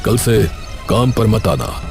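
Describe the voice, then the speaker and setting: A man playing a stern, authoritative village landlord with rigid rules in an animated moral story, speaking in a measured way. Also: fairly low pitch, gravelly, music in the background, full character voice.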